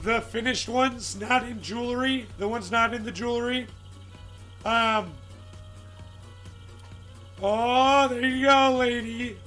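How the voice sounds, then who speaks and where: A man with a raspy, strained voice chats casually on a live stream, searching for words and greeting viewers by name. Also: very high-pitched, fairly even intonation, takes it slowly, long pauses, pushed and bright, soft background music.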